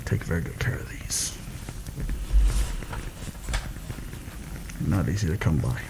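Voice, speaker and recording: Seasoned assassin played by a man, ASMR roleplay: deep, gravelly, hushed, wry and measured; noticeably noisy recording. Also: lively intonation. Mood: calm, instructive. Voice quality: gravelly, creaky. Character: seasoned, wry rebel assassin planning a palace heist. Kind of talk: soft-spoken ASMR roleplay. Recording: noticeable background noise.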